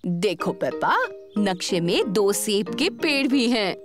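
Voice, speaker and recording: A woman's voice: mid-range voice, animated, takes it slowly, music in the background. A woman voicing an encouraging grown-up female animal who guides children in a cartoon, in an instructive way. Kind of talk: children's cartoon dialogue, Hindi dub.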